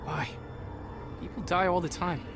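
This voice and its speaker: A young man playing a stern, dutiful Spartan cadet with a formal, measured delivery in a video game. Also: high-pitched, fairly even intonation, gravelly. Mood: grave, teasing. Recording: game music behind the voice.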